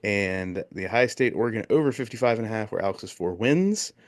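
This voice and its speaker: A man co-hosting a casual sports betting podcast, joking and reading off records and picks in quick bursts. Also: fairly low pitch, expressive. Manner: jokey, recaps bets in quick bursts. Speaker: podcast co-host.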